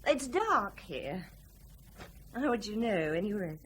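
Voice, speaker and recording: An actress playing a defensive, exasperated, slightly tipsy grown daughter in a radio drama. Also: female voice, medium pitch, very animated, slow pace, a bit bright, slight background noise. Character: defensive, tipsy married daughter, former air hostess.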